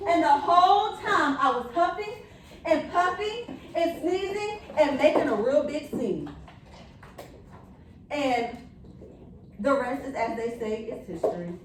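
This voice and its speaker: An indignant, mock-innocent wolf played by a woman pleads his case in storybook style. The voice is high and very animated, with wry, theatrical emphasis. It rings in a very echoey room. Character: indignant wolf telling his own side, self-pitying, mock-innocent. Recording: very echoey room, distant mic, faint noise.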